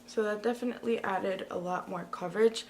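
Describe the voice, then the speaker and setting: A woman reviewing a product in a casual, chatty way, talking straight to her viewers, with a slightly raspy early-morning voice. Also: medium pitch, fairly even intonation, a little fast, a little hiss or hum. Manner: chatty, talks to viewers directly. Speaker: beauty vlogger.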